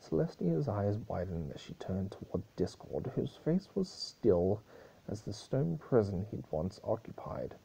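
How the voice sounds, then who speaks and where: A man reading a story aloud as a dramatic amateur narrator, halting now and then over the text. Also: lowish voice, very animated, creaky, warm tone, slightly rough, rough recording.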